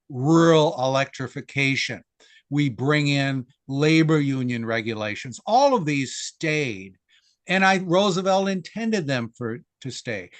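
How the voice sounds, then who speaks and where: Older man, American accent, interviewed historian, discursive, mid-pitched, dry recording. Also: a bit bright, no echo. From United States. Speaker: historian guest.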